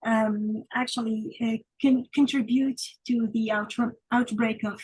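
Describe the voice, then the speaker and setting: Woman, from Tunisia, academic talk, hesitant with fillers, slightly husky. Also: fairly high pitch, steady tone, a little slow, a little mellow, dry recording, poor audio. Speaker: academic speaker. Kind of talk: conference paper presentation.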